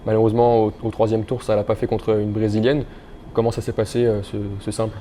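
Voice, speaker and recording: Fast-talking, mellow young male interviewer. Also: fairly low pitch, level tone, slight background noise. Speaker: interviewer, host. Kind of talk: casual interview.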